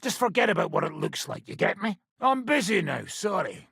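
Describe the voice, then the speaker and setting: A boisterous British sellsword and combat trainer from a video game. His voice is very hoarse and raspy, pitched fairly high for a man, and swings wildly as he swaggers and teases. The recording is dry and close. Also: sing-song, fairly quick, a bit bright, no room sound, full character voice. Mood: instructing, teasing. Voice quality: gravelly, raspy. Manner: swaggering, rough, teasing. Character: boisterous hard-drinking male mercenary swordsman, cocky and crude. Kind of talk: video game character dialogue.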